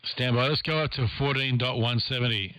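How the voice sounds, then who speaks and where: A man's voice: medium pitch, steady tone, brisk. Male ham radio operator, casual two-way exchange, heavy noise on the shortwave audio.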